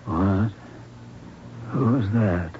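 Feeble confused old man on a muffled old radio drama, super slow, repeats himself, pleading. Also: on the low side, lively intonation, a little hiss or hum. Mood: confused, wistful. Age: older. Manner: halting fragments, forgetful, repeating himself. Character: frail, confused old doctor after a stroke, pleading and childlike. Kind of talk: 1970s radio drama acting.

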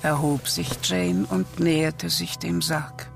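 A man's voice: a little high, fairly even intonation, slow pace, vocal fry. A man narrating a radio play in a scripted, dramatic storytelling style. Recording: faint music behind narration.

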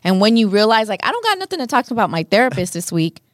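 A woman's voice: medium pitch, big pitch swings, fast talker, no echo, clean studio sound. A middle-aged Mexican-American woman, Spanish her first language, talking earnestly in a casual podcast conversation, often repeating phrases. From United States. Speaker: podcast guest, radio host.

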